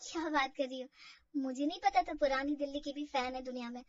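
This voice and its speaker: A woman's voice: high voice, a little fast, far from the mic, dull audio, lightly acted. A woman voicing a polite, anxious young new bride in a family drama story, hesitant and deferential. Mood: hesitant, worried.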